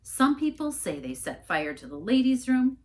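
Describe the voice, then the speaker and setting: A woman's voice: high-pitched, big pitch swings, normal speed, darkish tone, pitched above the performer's own voice. A woman voicing a worried, perplexed male church minister in a storybook reading. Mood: bewildered. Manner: fretful, perplexed.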